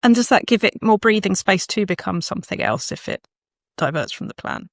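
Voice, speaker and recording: A woman's voice: medium pitch, big pitch swings, a little fast, gravelly, dry recording. A woman hosting a conversational interview in a reflective, encouraging manner. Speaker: interviewer, podcast host.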